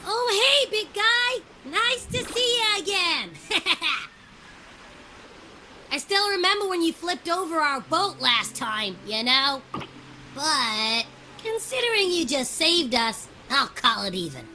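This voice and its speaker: A woman's voice: very high-pitched, big pitch swings, takes it slowly, sharp tone, a little hiss or hum, full character voice, poor audio. A woman voicing a cheerful, brash young female adventurer in a video game, with a breezy, teasing way of exclaiming. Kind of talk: animated video game voice acting.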